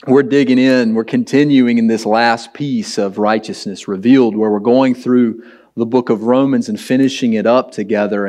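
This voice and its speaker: Raspy-voiced pastor preaching earnestly. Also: male voice, a little mellow. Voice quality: raspy, deep. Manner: conversational, earnest, emphatic. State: hoarse from preaching late the night before. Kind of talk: sermon.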